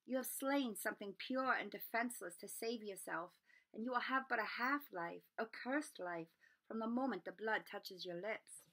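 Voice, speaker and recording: Woman reading as a solemn, formal centaur, bright voice. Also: on the high side, low-quality recording. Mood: grave, warning. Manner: grave, measured, formal. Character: Firenze, solemn, mystical male centaur. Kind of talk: children's storybook read-aloud.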